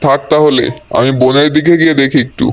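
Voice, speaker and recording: A man's voice: a little high, flat delivery, a little fast, lightly acted. A man playing an anxious, determined village father in a cartoon, speaking earnestly. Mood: worried. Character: worried, determined village father seeking his daughter. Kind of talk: cartoon acting. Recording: dull lo-fi audio with clear noise.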